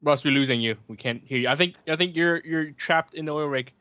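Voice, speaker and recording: A man giving casual, joking running commentary on a film as a host, with sardonic asides and halting, repeated words. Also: fairly high pitch, pushed and bright, dry recording.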